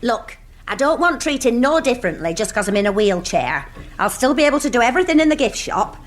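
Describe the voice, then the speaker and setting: A no-nonsense Northern English woman in a radio comedy. She is bossy and blunt, with very lively swings of pitch as she grumbles and scolds. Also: fast talker. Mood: matter-of-fact. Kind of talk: radio sitcom acting.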